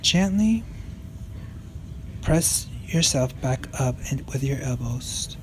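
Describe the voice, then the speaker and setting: A man in his thirties guiding a yoga stretching session with slow, calm step-by-step cues. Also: medium pitch, slight background noise. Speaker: yoga instructor. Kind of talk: guided yoga instruction.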